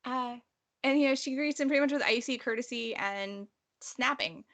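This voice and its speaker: A woman's voice: a little high, normal speed, a bit bright. A woman co-hosting a casual book discussion, explaining her opinions in a slangy, conversational way. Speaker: podcast co-host.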